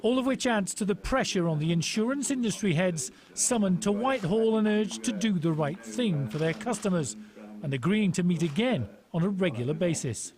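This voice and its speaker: A man's voice: sits high, expressive, moderate pace, crowd noise. A man with a British accent narrating a scripted television news report.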